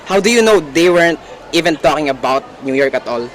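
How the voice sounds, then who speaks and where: A man's voice: a little high, lively intonation, brisk, natural voice, low-quality recording. A young man acting a skeptical, dismissive police desk officer in an amateur radio play, patronizing and matter-of-fact, with background noise. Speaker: student actor in a school radio play.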